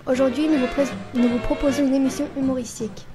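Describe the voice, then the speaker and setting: A female radio presenter reads a scripted introduction in a high voice, stumbling as she goes. Loud music plays behind her. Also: steady tone. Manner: halting reading with stumbles.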